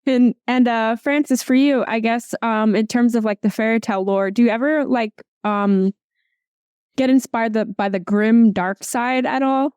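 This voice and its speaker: A woman's voice: a little high, steady tone, normal speed, a little mellow, clear, resonant tone, no echo, studio quality. A woman hosting an interview, asking her questions hesitantly and searching for words. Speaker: host, interviewer.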